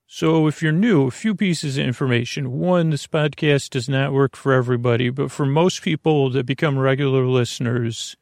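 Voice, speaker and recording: Creaky-voiced man rambling soothingly. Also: medium pitch, no echo, clean studio sound. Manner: meandering, halting with pauses and repeated phrases. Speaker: podcast host. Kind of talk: rambling, soothing bedtime monologue.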